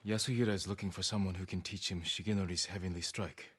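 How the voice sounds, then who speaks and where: An actor playing a stern samurai hero who speaks in a quiet, measured way. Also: male voice, sits low, steady tone, husky. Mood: grave. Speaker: game character. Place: played from the game.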